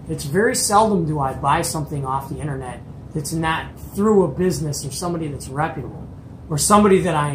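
A middle-aged man telling a casual story to camera as host, in an animated, emphatic, colloquial way. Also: on the high side. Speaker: host of a CB radio show. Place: small indoor hobby radio room. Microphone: camera or phone at arm's length. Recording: roomy echo, background noise.

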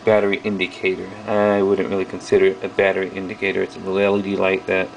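A man giving a casual hands-on product review, speaking haltingly in short pieces as he handles things. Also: low voice, completely flat delivery, vocal fry, a little hiss or hum, low-quality recording. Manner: halting, piecemeal delivery while handling items. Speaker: video host reviewing old electronics. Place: small indoor workroom at a bench.

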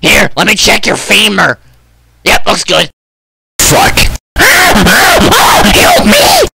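A man's voice: high-pitched, huge pitch swings, drawn-out words, creaky, bright, forceful tone, husky, shouting. A man performing comedic game voice lines in exaggerated character voices, theatrical and prone to outbursts.

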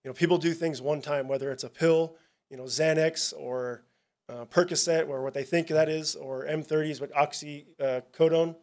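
A man, a police narcotics officer, giving a casual, halting presentation at a town hall, with many fillers and restarts. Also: on the high side, steady tone, a little mellow.

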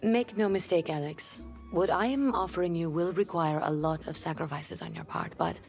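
A woman playing a poised, persuasive sports agent, measured and businesslike, in a game cutscene. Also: fairly low pitch, gravelly, a little mellow, soft background music. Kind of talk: naturalistic video game cutscene acting.

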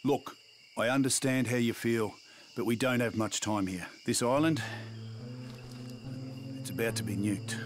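An actor voicing an earnest, duty-bound army colonel in a video game, measured and insistent. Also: male voice, medium pitch, lightly acted. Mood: earnest, pleading. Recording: outdoor insects and background noise.

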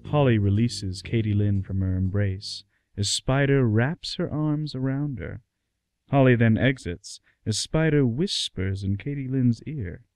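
A man's voice: medium pitch, unhurried, darkish tone, dry recording, studio quality, faint music behind. A man narrating a scripted radio play.

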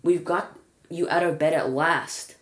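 A woman's voice: deep voice, steady tone, unhurried, reverberant, background noise. A woman reading aloud and voicing a cheerful, eager old grandfather.

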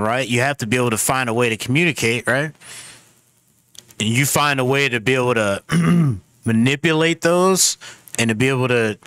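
A man's voice: medium pitch, fairly bright tone, dry recording. A man speaking casually and in slang as a streamer reacting to the news. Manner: slangy, conversational.

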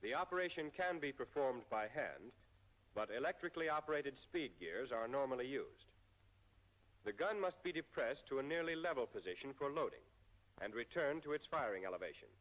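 An American man reading a scripted 1940s military training film narration in a formal, measured way with precise enunciation. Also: medium pitch, expressive, fairly bright tone. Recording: 1940s film soundtrack, long reverb.

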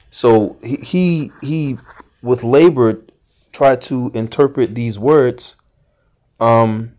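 A man's voice: mid-range voice, mellow. Rambling man teaching scripture, muffled. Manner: rambling, frequent fillers and restarts. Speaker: Bible teacher.

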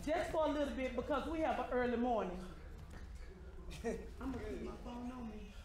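Casting: uptight, protective female manager for stage comedy actress, high voice, very echoey hall. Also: moderate pace, a crowd in the background, natural voice. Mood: resigned. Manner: fretful, businesslike. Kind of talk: comedic stage play acting. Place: theater stage. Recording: echoey theater, clearly noisy.

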